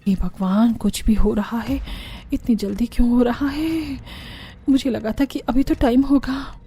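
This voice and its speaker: A woman's voice: a little high, unhurried, warm tone, husky. A woman voicing a weary, evasive elder daughter-in-law in a cartoon story, grumbling and placating by turns. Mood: dread, grumbling.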